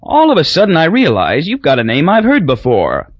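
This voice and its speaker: A man voicing a loud, boozy, crude middle-aged businessman who talks in folksy bluster. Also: a little high, wildly swooping pitch, fairly quick, no echo, muffled recording, voice pushed up.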